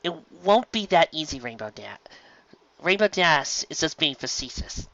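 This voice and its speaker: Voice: mid-range voice, sing-song, normal speed, fairly bright tone, no echo, muffled recording, pitched above the performer's own voice. A woman voicing an earnest, bookish, bossy young female pony in a cartoon fan story, explaining things precisely. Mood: lecturing.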